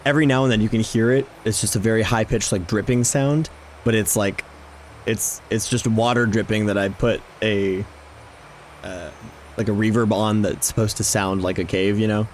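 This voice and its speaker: Enthusiastic low-voiced man vlogging a game. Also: steady tone, moderate pace, a little hiss or hum. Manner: enthusiastic, informal. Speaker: video game vlogger, host. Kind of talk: casual gameplay commentary. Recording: music behind the voice. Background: game background music.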